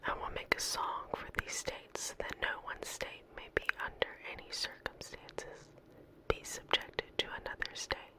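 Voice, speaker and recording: A woman whispering softly in an unhurried, gentle ASMR style, as a host reading poetry aloud. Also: low-quality recording. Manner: soft, unhurried, gentle delivery with pauses.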